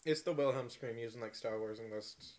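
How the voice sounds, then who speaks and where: Young man, average pitch, casual banter, joking and teasing, podcast guest. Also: fairly quick, background noise. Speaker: podcast participant. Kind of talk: casual chat.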